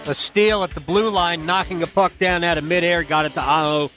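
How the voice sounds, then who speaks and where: Bright, high-voiced male sports radio host. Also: steady tone, a little fast, faint music behind. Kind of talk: unscripted sports highlight commentary.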